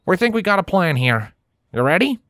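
A practical, bossy female farm pony from a storybook, as a man acts her out. The voice is raised high and drawls in a folksy country way. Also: big pitch swings, fairly quick, fairly bright tone, no room sound, heavily acted.

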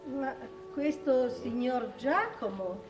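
A woman's voice: sits high, animated, slow pace, music in the background. An older actress playing a haughty, formal grandmother marchioness in a 1950s Italian television period drama. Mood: imperious. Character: haughty, aristocratic old grandmother marchioness hosting a dinner. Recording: old 1950s television soundtrack.

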